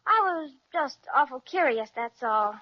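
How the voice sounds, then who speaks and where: A woman's voice: high-pitched, expressive, a little slow. A woman voicing an eager, polite young girl in a 1930s radio serial, earnest and curious. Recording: dull, dry 1930s radio recording.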